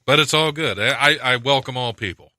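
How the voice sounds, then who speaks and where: A man's voice: mid-range voice, brisk, pushed and bright, no room sound. A man hosting a casual studio chat, joking, laughing often and restarting his sentences. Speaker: podcast host.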